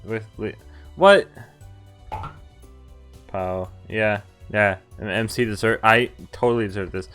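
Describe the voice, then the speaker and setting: A man's voice: fairly low pitch, steady tone, slow, pauses often, a bit bright, clear, resonant tone. A man streaming a game, reading visual novel text aloud and reacting casually. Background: game music.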